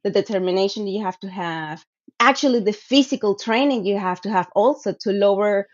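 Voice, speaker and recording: A woman, speaking earnestly and reflectively about her past, pausing on fillers as she searches for words. Her voice sits mid-range and comes through a dull, lo-fi video call line. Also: mid-range voice. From Venezuela. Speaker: guest speaker, sport shooter. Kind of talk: casual personal storytelling. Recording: muffled lo-fi call audio.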